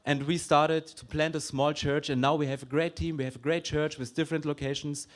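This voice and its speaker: A pastor welcoming guests to his church, switching between German and English with quick apologetic asides. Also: fairly high pitch, level tone. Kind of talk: welcome speech at a church event. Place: church hall.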